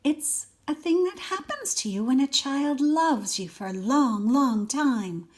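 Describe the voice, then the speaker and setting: Wise, shabby old toy horse for a storybook, kindly and patient, slow measured delivery read by an older woman. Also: high-pitched, animated, voice pushed up.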